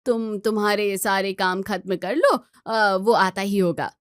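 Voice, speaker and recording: Household matriarch, read by a woman storyteller, older, practical, measured delivery with small hesitations, dry recording. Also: mid-range voice, no echo, natural voice. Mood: matter-of-fact.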